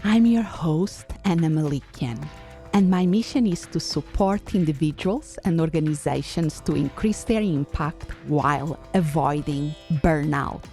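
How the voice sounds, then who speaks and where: A woman's voice: sits low, mellow, soft background music. A woman in her fifties from Portugal with a Portuguese accent, hosting a reflective solo podcast with a thoughtful manner. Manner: thoughtful, unhurried, with frequent uh fillers. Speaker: podcast host.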